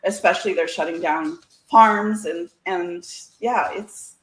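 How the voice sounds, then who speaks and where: A woman's voice: on the low side, unhurried. Chatty Canadian woman in an echoey room. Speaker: guest, CB radio community organiser. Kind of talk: casual chat.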